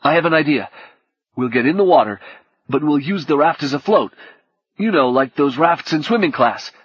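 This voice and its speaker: A man voicing a panicky teenage boy who shouts and pleads in a high, strained voice. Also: lively intonation, moderate pace, husky, dry recording. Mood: urgent. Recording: dull lo-fi cassette audio.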